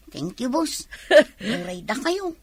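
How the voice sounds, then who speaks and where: A woman's voice: a little high, very animated, very slow, slightly rough, no room sound, studio quality, exaggerated voice. A woman playing a shy, tomboyish young factory worker with hesitant, bashful replies in a comedy radio drama. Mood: coy, pleased.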